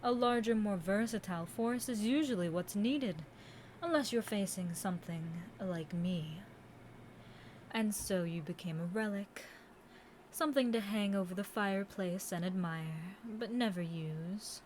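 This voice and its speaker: A woman's voice: mid-range voice, unhurried, darkish tone, slight background noise, close to an everyday voice. A woman playing a seductive, condescending immortal Demon Queen in a theatrical, taunting audio roleplay monologue. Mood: condescending, mock pity.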